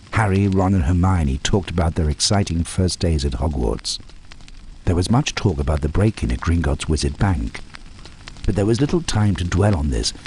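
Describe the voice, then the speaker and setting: A deep-voiced man narrates a game's story in scripted, storybook fashion. There is faint noise behind him. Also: a little mellow, low-quality recording.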